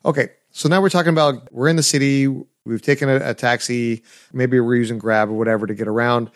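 A man's voice: medium pitch, steady tone, fast talker, dry recording, clean studio sound. A man hosting a casual interview, asking conversational questions and often restarting his sentences. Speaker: podcast host.

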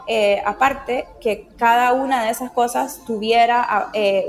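A woman from Honduras, giving casual interview answers in an enthusiastic, explanatory way. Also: on the high side. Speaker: interviewee, project team member.

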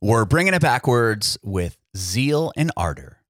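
A man's voice: mid-range voice, very animated, a little slow, a bit bright, no room sound, studio quality. A man from San Diego hosting a music podcast, chatty and upbeat, with lots of fillers and restarts. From San Diego, United States. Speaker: podcast host. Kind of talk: casual interview chat and scripted intro.

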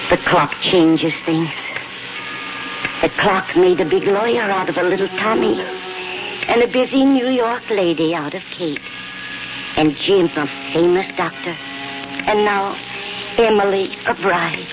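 A woman's voice: on the low side, a little slow, long pauses, natural voice. An actress playing a wistful, devoted elderly mother in a 1940s radio drama, speaking in a homely, reflective way. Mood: pensive, lonely. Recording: muffled 1940s radio broadcast with music.

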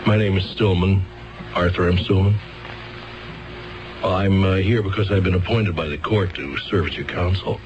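An actor in a 1970s radio drama playing an earnest, flustered defense lawyer who hesitates and stammers fussily. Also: male voice, sits low, steady tone, normal speed, long pauses, close to an everyday voice. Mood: polite, awkward. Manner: hesitant, stammering, throat-clearing, fussy. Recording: muffled old radio, music behind.

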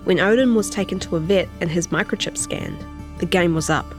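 New Zealand woman journalist, slightly creaky voice. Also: lowish voice, brisk, over music. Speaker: journalist and podcast narrator. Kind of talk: interview chat and scripted feature narration.

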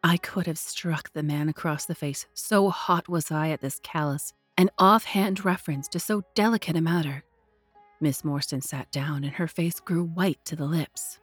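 A woman narrating a literary tale as a storyteller, measured, deliberate and expressive. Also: deep voice, husky, no room sound, soft background music. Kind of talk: dramatic scripted literary narration.

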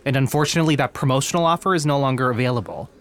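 A man's voice: mid-range voice, very fast, a bit bright, clear, resonant tone, natural voice. An actor playing a polite young man in phone support, courteous and apologetic, in a naturalistic audio drama. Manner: courteous, apologetic customer service tone.

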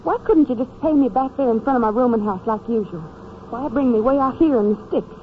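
A woman's voice: fairly high pitch, brisk, close to an everyday voice. A muffled, noisy old radio recording carries an actress playing a nervous young woman. She is evasive and wary, in vintage crime drama style.

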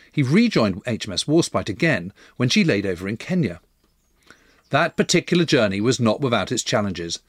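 A man's voice: mid-range voice, slightly rough, no echo, clean studio sound. A man narrating a scripted history documentary as its storyteller.

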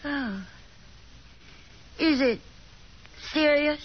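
High-voiced, genteel Southern mother. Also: very slow. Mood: stiffly gracious. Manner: theatrical, effusive, reproachful. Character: genteel, overbearing middle-aged Southern mother, fussy and reproachful. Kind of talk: 1950s radio drama acting. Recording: muffled, noisy 1950s radio recording.

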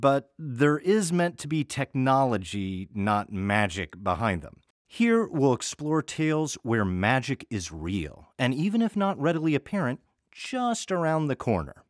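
A man's voice: mid-range voice, no echo, studio quality. An American man introducing an audio story series as host, in a wry, conversational scripted style.

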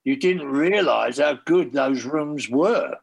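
Older man, former tour manager, raspy and gravelly, blunt and profane, enthusiastic bursts. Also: mid-range voice, animated, slow. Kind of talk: casual interview reminiscing.

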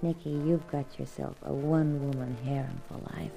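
A woman's voice: extremely low pitch, steady tone, moderate pace, gravelly, music in the background. An actress with an American accent playing a sassy, loving girlfriend in a 1950s radio drama, dryly teasing.

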